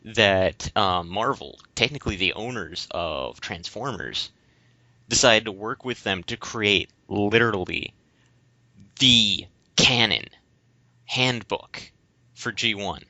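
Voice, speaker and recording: Male podcast co-host, casual and rambling with halting explanations. Dry but dull, lo-fi recording. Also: on the low side, animated, pushed and bright, no echo. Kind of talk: casual discussion of games.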